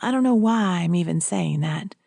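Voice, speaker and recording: A woman voicing a kindly, composed male priest in an audiobook, speaking gently, formally and deliberately. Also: on the low side, lively intonation, vocal fry, no echo, clean studio sound. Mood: gentle, formal.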